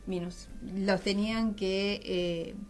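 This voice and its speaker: A middle-aged Argentine woman speaking as a guest in a conversational radio interview, explaining things and often pausing to search for words. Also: medium pitch, fairly even intonation, a little hiss or hum. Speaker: guest, writer and journalist.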